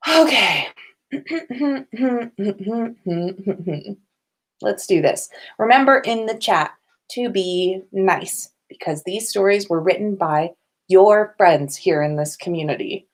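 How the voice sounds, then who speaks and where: A woman's voice: mid-range voice, expressive, slow pace. A woman hosting an informal livestream, reading listener-written stories aloud. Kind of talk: livestream storytelling, informal read-aloud of fan fiction.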